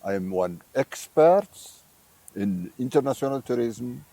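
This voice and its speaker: A man's voice: mid-range voice, animated, measured pace, gravelly, warm tone, no echo. An older man from Morocco, a visiting tourism professor, answering interview questions in English with many fillers and restarts.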